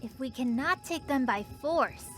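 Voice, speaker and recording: A woman voicing a patient, knowing young girl who tells stories in an explanatory way in an animated children's series. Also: high voice, measured pace. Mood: dramatic storytelling. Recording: music behind the voice.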